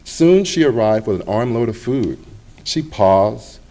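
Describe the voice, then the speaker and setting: A middle-aged man reads his own essay to a library audience, his voice low and mellow. He takes it at a measured, expressive pace and lets pauses sit between phrases. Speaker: author reading his essay. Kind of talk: public reading of a personal essay. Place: public library reading event.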